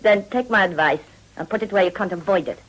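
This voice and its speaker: Husky, worldly madam played by an actress. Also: female voice, medium pitch, fast talker, natural voice, rough recording. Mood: cynical, amused. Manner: theatrical, knowing, teasing. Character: worldly, cynical, coaxing madam with French affectations. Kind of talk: period radio drama acting.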